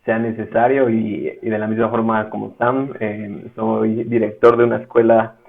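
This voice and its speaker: A man with a Mexican accent, speaking as a guest in a casual interview, measured and often pausing on "eh". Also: lowish voice, level tone, vocal fry. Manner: measured, with frequent "eh" fillers. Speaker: guest, ministry school director. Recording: tinny phone-like line.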